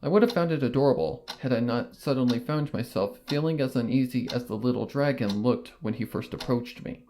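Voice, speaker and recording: A man giving a first-person audiobook narration of a fan fiction story, in a measured, even storytelling manner. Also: mid-range voice.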